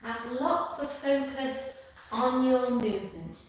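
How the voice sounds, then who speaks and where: A woman instructor leads a live tai chi class, giving calm, measured step-by-step cues and repeating her phrases. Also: fairly high pitch. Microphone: far from the speaker. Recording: distant mic in echoey room, faint noise.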